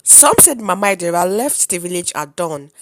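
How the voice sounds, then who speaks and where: A woman with a Nigerian accent narrates a folktale as a storyteller, in a theatrical, solemn manner with deliberate phrasing. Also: lowish voice, very animated, heavy background noise.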